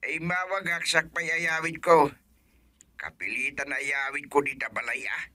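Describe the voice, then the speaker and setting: Harried husband, very sharp forceful voice, highish pitch, insistent, radio drama. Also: male voice, fairly even intonation, moderate pace, no echo, clean studio sound. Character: harried husband phoning home about an emergency.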